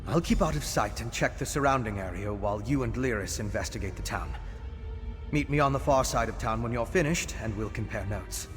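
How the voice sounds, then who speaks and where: A cavernous reverb surrounds a male actor as a learned, wary fantasy adventurer. He speaks in measured, articulate explanations, like a cautious scholar from a video game, with music playing underneath. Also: mid-range voice, lightly acted. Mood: earnest, cautioning.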